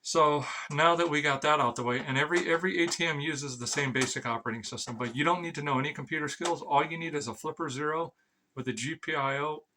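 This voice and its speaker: A man's voice: fairly high pitch, fast talker, pushed and bright, a little hiss or hum. A man giving a rambling, informal explainer as an amateur vlogger, blunt and sweary, often stammering over repeated words.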